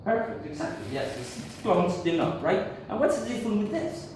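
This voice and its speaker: A man from Argentina gives a tech talk to a conference audience in English, his second language, halting and full of fillers. His voice sits slightly high for a man. It is picked up from afar in a strongly reverberant hall with faint background noise. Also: sing-song. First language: Spanish. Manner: halting, many fillers and restarts. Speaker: conference speaker. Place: conference room. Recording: echoey hall, speaker far from mic.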